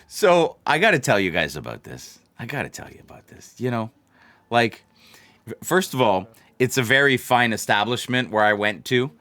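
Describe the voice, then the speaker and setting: A man's voice: medium pitch, very animated, moderate pace, sharp tone. A man hosts a casual livestream, chatting to viewers in a rambling way and repeating phrases for emphasis. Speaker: livestream host of a blackjack channel.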